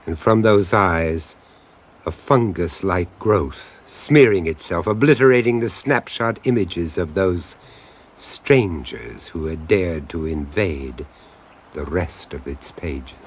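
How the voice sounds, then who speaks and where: A man's voice: sits low, slow pace, breathy edge. An older man narrating a horror radio drama in a grave, relishing tone.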